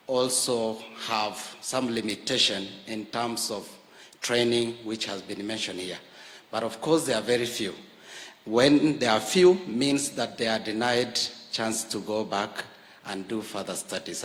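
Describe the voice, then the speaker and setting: A man's voice: fairly low pitch, a bit bright. Kenyan man speaking in a reverberant hall. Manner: measured, with frequent "uh" fillers, rising emphasis on key points. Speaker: health worker leader addressing a political forum. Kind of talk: formal public speech. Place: large university hall.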